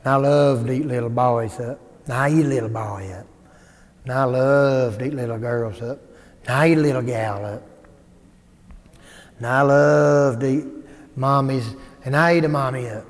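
A man's voice: medium pitch, close to an everyday voice. Man putting on a big bad growling storybook bear. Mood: menacing, boastful. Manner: slow menacing sing-song chant, growling. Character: huge gluttonous bad bear bragging about eating people. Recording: echoey hall with long reverb.

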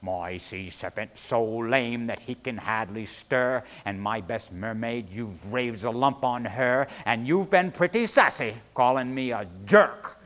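A man's voice: rumbling low, level tone, takes it slowly, few pauses, fairly bright tone, pitched below the performer's own voice, heavily acted. A man voicing an angry old sea god in a folk tale, grumbling with indignation. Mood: indignant. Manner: muttering, grumbling. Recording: hall reverb, live-sounding room.

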